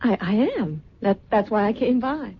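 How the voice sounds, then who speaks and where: Earnest young daughter: mid-pitched, sincere, restrained, anxious; dull vintage radio sound. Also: female voice, normal speed, slightly rough. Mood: hesitant. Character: earnest, anxious twenty-year-old daughter of glamorous mother. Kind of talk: old-time radio drama acting. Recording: muffled, noisy vintage radio recording.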